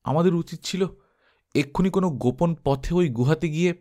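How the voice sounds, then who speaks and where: A man's voice: fairly high pitch, normal speed, voice pushed up, close to an everyday voice. A man voicing a cautious male adventurer in an audiobook, with an urgent, commanding manner. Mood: apologetic. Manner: urgent, hushed at times, commanding. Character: cautious German adventurer giving urgent orders.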